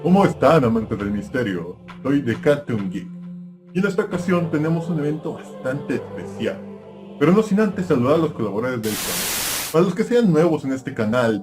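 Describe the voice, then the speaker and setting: A man's voice: mid-range voice, a little mellow, close to an everyday voice. A man playing a nostalgia-obsessed cartoon-review YouTuber, presenting scripted mystery commentary straight to his viewers. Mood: chatty, welcoming. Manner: presenter-like, addressing viewers directly.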